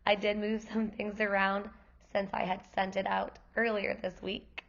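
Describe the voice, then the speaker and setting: Woman, kindergarten teacher, hoarse from losing her voice, friendly parent-night explainer, gravelly tone, dull lo-fi recording. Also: mid-range voice, level tone, unhurried, fairly bright tone. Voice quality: gravelly, worn voice.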